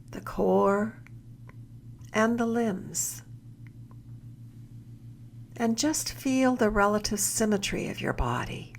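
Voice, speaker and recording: Slow, creaky-voiced woman guiding meditation. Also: medium pitch, slight background noise. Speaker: mindfulness mentor leading a body scan. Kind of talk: guided meditation narration.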